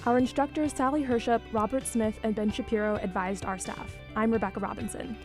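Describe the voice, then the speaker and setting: A woman with a fairly high voice reads crisp scripted lines as a radio co-host. Faint music plays beneath her. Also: fairly even intonation, fairly quick. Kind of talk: radio show presenting.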